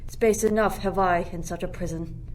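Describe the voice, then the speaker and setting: A woman's voice: lowish voice, fairly even intonation, moderate pace, a little mellow, close to an everyday voice, low-quality recording. A woman playing a lovestruck, defiant young shipwrecked royal in a Shakespearean radio play, earnest and declamatory. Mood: yielding, lovestruck. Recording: faint background noise.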